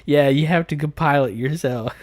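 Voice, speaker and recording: A man's voice: a little high, normal speed. Southern american guy streaming, chatting casually and joking around, reads comments, really dry sound no room echo. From United States. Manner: informal, joking. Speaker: live streamer. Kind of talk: casual live stream chat, reading and answering comments.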